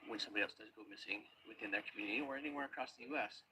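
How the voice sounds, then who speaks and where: A man from an underwater search and recovery team, giving a calm, matter-of-fact field report and explaining how the search was done. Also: fairly low pitch, very fast, a little hiss or hum, rough recording. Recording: loud music bed under voice.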